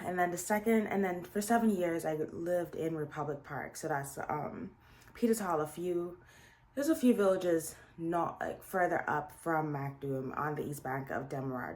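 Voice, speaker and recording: A woman's voice: sits low, normal speed. A young adult woman from Guyana with a Guyanese accent, chatting casually as she introduces her series, often restarting sentences. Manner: chatty, with frequent restarts and fillers. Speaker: series host.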